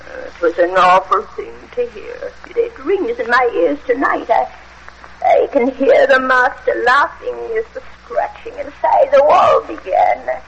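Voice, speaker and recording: Creaky dying old servant woman. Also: sits high, big pitch swings, heavily acted. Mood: haunted, sorrowful. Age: older. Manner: halting, anguished. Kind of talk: old-time radio horror drama acting. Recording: muffled, hissy old radio drama recording.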